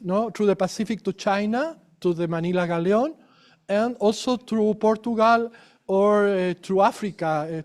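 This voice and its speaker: A man's voice: high voice, a little mellow. A man giving an academic conference talk, restarting phrases often and checking in with tag questions. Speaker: conference presenter. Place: lecture room.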